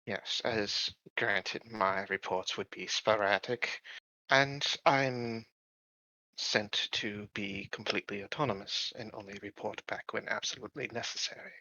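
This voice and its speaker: A man playing a formal, guarded corporate agent in a tabletop roleplaying game, choosing measured, evasive words. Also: on the low side, fairly even intonation, pushed and bright, no room sound, highs cut off. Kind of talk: improvised tabletop roleplay dialogue.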